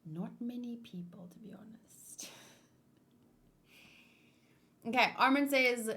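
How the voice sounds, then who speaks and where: A woman with a fairly high voice talks casually as a streamer. She is playful and expressive, moving between loose chat and reading aloud with her own commentary. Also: takes it slowly, slight background noise. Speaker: streamer hosting a manga read-along.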